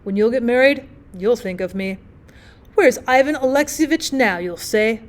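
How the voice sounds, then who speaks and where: A woman voicing a giddy, boastfully happy newlywed gentleman in an audiobook, tipsy, effusive and rambling. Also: a little high, animated, moderate pace, lightly acted. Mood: blissful, gushing. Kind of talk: acted audiobook storytelling.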